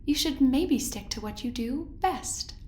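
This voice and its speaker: An actress playing a coolly menacing doctor in charge in an audio drama, condescending and falsely polite. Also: female voice, sits high, expressive, measured pace, mellow, echoey room, a little hiss or hum. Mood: veiled threat.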